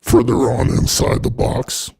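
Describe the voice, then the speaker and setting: A man with a gravelly, husky, effected voice narrating a product unboxing in a casual, sweary, irreverent way. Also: unhurried, darkish tone. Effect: voice changer processing.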